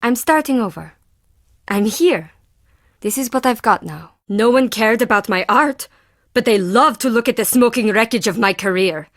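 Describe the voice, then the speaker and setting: A woman's voice: fairly high pitch, animated, normal speed, fairly bright tone, no room sound, clean studio sound. A woman playing an intense, volatile artist in an adventure game, speaking in a dramatic, emphatic way. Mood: bitter, snapping. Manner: dramatic, emphatic, abrupt shifts.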